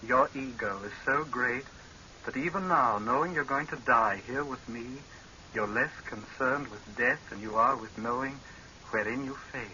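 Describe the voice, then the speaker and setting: An actor playing a bitter, vengeful rebel in 1930s radio horror, speaking in a theatrical, impassioned way. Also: male voice, mid-range voice, normal speed, pushed and bright. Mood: grim, menacing. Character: bitter, vengeful male rebel resolved to die with his captive tyrant. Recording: hissy, muffled old radio recording.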